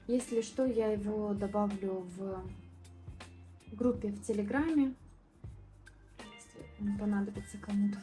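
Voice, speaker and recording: A young woman from southern Moldova talks through her painting process in a loose, rambling way, full of fillers and restarts. Her voice is soft and mellow, and faint music plays underneath. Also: mid-range voice, fairly even intonation, measured pace, pauses often. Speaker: art vlogger. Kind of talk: casual painting vlog voice-over.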